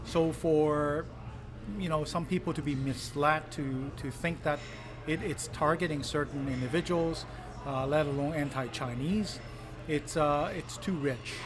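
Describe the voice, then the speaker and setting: A man from Hong Kong, a politician giving earnest interview answers with many pauses and fillers. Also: fairly high pitch. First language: Cantonese. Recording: clip with noisy ambience.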